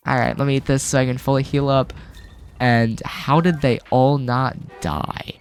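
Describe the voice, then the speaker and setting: A man's voice: medium pitch, fairly even intonation, normal speed, soft background music. A man hosting a let's-play, getting over a cold with a lingering cough, rambling casually with lots of fillers. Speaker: gaming video host.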